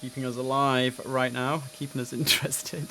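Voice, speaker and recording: A man's voice: medium pitch, little pitch movement, moderate pace, bright, forceful tone, slight background noise. A man commentating casually on a simulator game, chatting and musing to himself, with clipped radio calls. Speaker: streamer playing an air traffic control simulator.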